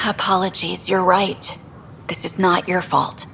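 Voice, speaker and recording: A woman's voice: lowish voice, flat delivery, slow pace, creaky, bright, forceful tone, a little hiss or hum, full character voice. An actress playing a frustrated female station worker who vents with exasperation and dry humor. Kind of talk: video game dialogue.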